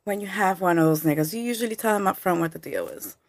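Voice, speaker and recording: A woman's voice: mid-range voice, quick pace, dry recording. A woman who is a guest on a podcast, chatting casually in a studio and firing back blunt retorts. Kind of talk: casual chat. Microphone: studio mic, pulled close.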